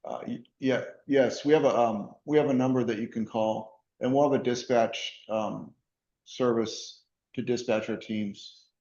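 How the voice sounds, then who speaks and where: A man representing a service provider, answering community questions with halting, stammering starts. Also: mid-range voice, fairly even intonation, dark tone, reverberant, lo-fi sound. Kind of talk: meeting answer.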